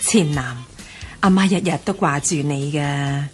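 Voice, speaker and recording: An actress playing a doting, considerate middle-aged mother with a husky voice, in a Cantonese radio drama. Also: sits low, a little slow, soft background music. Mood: tender, wistful.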